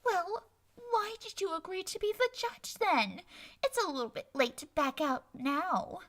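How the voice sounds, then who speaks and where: A high, bright and piercing cartoon pony magician, swinging theatrically up and down. She is boastful and petulant, whining like a sore winner, with music behind her. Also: sing-song, measured pace, heavily acted. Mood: whiny. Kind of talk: fan audio drama, cartoon-style acting.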